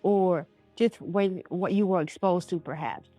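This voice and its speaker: A woman's voice: lowish voice, a little slow, mellow, faint music behind. A woman hosting a conversational interview show, talking in a folksy, affirming way and addressing listeners directly. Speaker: radio show host.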